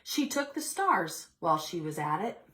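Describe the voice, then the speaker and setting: A woman leading a preschool storytime, reading a picture book aloud expressively and pausing to ask the children questions. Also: fairly low pitch, big pitch swings, a bit bright, a little hiss or hum.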